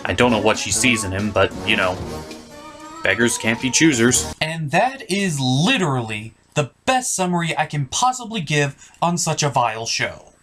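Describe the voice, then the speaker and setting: A man's voice: mid-range voice, sing-song, moderate pace, a bit bright, soft background music. A man hosting a scripted comedic review, ranting in a theatrical, emphatic manner. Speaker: host and reviewer.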